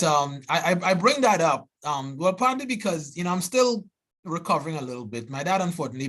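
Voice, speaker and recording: Trinidadian man, 40s, professor at a seminar, earnest and animated, mid pitch. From Trinidad and Tobago. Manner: earnest and animated, with frequent restarts and "right?" asides. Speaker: biology professor giving a seminar. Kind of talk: seminar talk.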